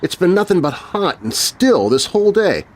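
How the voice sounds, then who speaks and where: A man's voice: sits high, very animated, moderate pace, voice pushed up. A man voicing a curious, frightened young boy in a spooky children's story, with panicky exclamations.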